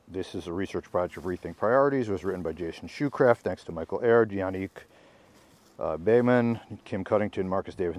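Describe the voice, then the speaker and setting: A man reading a research article aloud in a measured, explanatory way, with informal asides and the odd self-correction. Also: deep voice, a little mellow. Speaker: podcast reader and commentator.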